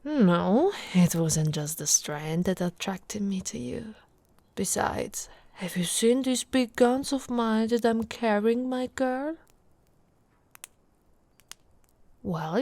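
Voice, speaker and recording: Casting: woman to play a brawny female orc wife for ASMR roleplay; unhurried, teasing, intimate delivery with whispered dips, recorded close and dry. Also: a little high, a little mellow, no room sound. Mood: flirty, admiring. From Italy. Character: brawny affectionate female orc warrior wife, playfully boastful.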